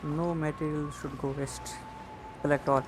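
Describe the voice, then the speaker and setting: A man with an Indian accent making quick offhand remarks while playing a game. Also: a little high, level tone, heavy background noise. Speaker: gaming streamer. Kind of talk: casual gameplay commentary. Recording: voice over loud game music.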